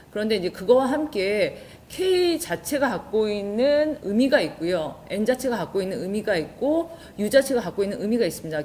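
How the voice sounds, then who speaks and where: A woman's voice: medium pitch, a little mellow. A woman, a research professor, giving a formal, explanatory presentation of a university programme. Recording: faint background noise and ambience.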